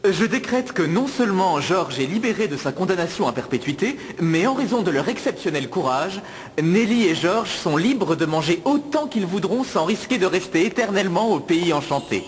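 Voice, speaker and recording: Ceremonious, expressive cartoon king. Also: sits high, moderate pace, a little hiss or hum. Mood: gracious, solemn. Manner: formal, ceremonious. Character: regal, benevolent adult king of an enchanted land. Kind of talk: French cartoon dubbing.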